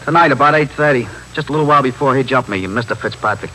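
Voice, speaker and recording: A man's voice: mid-range voice, fast talker, sharp tone, slight background noise, lightly acted, rough recording. A man playing a defensive, street-smart small-time crook in a 1950s radio drama, full of slangy, evasive back talk. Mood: wary, defensive. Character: defensive street-smart small-time male drug pusher, cocky and irritable.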